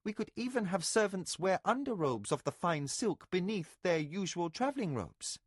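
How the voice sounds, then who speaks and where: A man's voice: high-pitched, sing-song, moderate pace, no echo, studio quality, voice pushed up. A man voicing a young noblewoman who leads a household, speaking sharply and with command.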